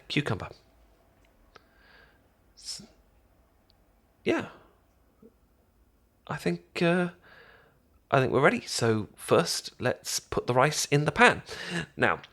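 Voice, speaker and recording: A man plays a friendly young British guy in an intimate ASMR roleplay. He speaks slowly at a middling pitch, rambling and tripping over his words. He comes across as an eager best mate showing someone how it's done. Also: a bit bright, full character voice. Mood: reassuring. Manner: halting, stammering, rambling. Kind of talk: ASMR roleplay, casual chat.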